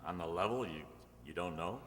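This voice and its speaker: A man's voice: low voice, fairly bright tone. A man playing an awkward, smitten suitor in a radio romantic comedy, speaking hesitantly. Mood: sheepish. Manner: halting, hesitant. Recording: echoey hall stage recording.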